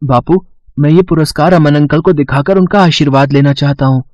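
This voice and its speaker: Guy playing a hardworking poor school kid in a cartoon, highish voice, very dry sound. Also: fairly quick, darkish tone, no room sound, dull audio, close to an everyday voice. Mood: polite, proud. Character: earnest, hardworking poor schoolboy, a servant's son.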